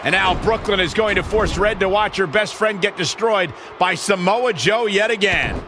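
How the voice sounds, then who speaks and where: A man's voice: high voice, normal speed, natural voice. A man playing an exasperated wrestling commentator in a video game, calling events from ringside. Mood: alarmed, dismayed. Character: exasperated male wrestling commentator siding with heroes. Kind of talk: sports commentary. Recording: loud arena crowd and noise.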